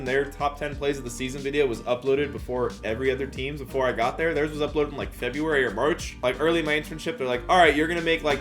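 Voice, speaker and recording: Higher-pitched young American man, music behind. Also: a bit bright. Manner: slangy, self-deprecating. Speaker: YouTuber and storyteller. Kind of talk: casual storytime vlog to camera. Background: background music.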